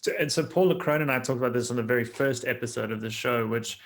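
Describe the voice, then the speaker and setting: A man hosting a conversational interview, listening attentively with short acknowledgements and feeling his way through his words. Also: medium pitch. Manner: attentive, frequent short acknowledgements, halting when summarizing. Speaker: podcast host, interviewer.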